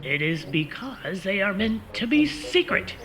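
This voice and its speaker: A man's voice: high-pitched, very animated, a little slow, crowd noise. A man playing a bumbling, gullible secret agent in a comic radio play, sounding flustered and pompous. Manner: flustered, pompous, self-important. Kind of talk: comic radio theater acting.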